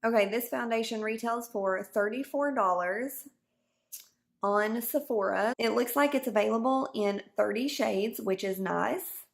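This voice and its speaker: Hoarse woman doing a chatty beauty review. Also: a little high, measured pace. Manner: chatty, explains each step as she goes. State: hoarse from a cold. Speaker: beauty vlogger. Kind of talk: casual product review to camera.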